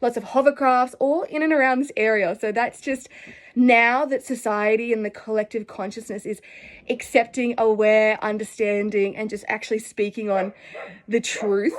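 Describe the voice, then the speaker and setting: A woman's voice: fairly high pitch, steady tone, normal speed. A young Australian woman telling personal stories to camera in an earnest, effusive, rambling way. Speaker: spiritual vlogger. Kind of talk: casual personal storytelling to camera.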